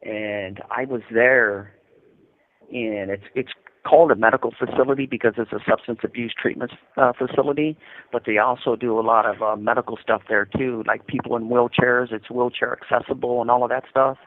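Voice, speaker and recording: A man's voice: mid-range voice, fairly even intonation, normal speed, slight background noise. A man in his fifties with an American accent, talking conversationally and explaining things over a phone line as an interviewee. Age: 50s. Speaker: incarcerated interviewee calling from prison. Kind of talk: casual phone interview, recounting experiences. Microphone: prison telephone. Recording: tinny narrow-band telephone line.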